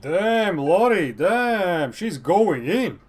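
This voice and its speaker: A man's voice: high-pitched, sing-song, drawn-out words, breathy edge. A man giving casual reaction commentary as he plays a game, throwing in sarcastic, crude remarks. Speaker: game streamer reacting to story.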